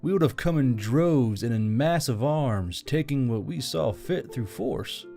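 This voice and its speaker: A man's voice: mid-range voice, lively intonation, a little slow, dark tone, faint music behind. Man playing a weary, subdued military commander. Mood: troubled. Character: weary, self-doubting male military commander, soft-hearted. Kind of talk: audiobook character dialogue.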